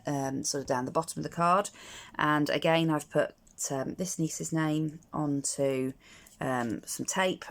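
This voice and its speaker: A middle-aged woman walks through her card making at a home craft table in a croaky, gravelly voice that sits low. She talks casually and hesitantly, pausing on lots of ums and restarting her sentences.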